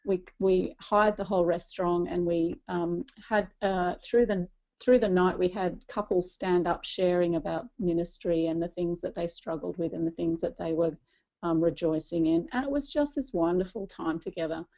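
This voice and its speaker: A woman's voice: fairly low pitch, fairly even intonation, normal speed, mellow, lo-fi sound. A woman in her late forties giving a personal, reflective talk as a co-presenter, earnest and conversational. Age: late 40s. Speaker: church ministry leader speaking as co-presenter.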